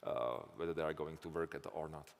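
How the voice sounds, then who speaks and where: A man with a Slovak accent, answering audience questions after a conference talk in a thoughtful, halting way, often restarting phrases. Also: very deep voice, gravelly. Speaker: conference speaker. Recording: reverberant conference hall.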